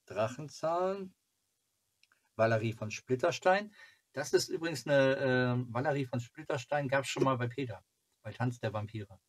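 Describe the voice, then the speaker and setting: Halting middle-aged man chatting casually. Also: medium pitch. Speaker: fan club video host. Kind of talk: casual chat reviewing fan merchandise. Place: small cluttered home room, indoors.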